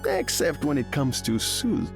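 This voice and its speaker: A man's voice: mid-range voice, animated, a little slow, over music, lightly acted. A man voicing a proud, smug rival king for a video game, formal and insinuating. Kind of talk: video game character acting.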